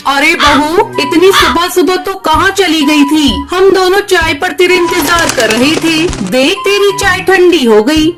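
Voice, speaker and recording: A woman's voice: very high-pitched, a little slow. A woman voicing a motherly crow mother-in-law in a Hindi children's cartoon, coaxing and practical. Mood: caring. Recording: music bed behind voice.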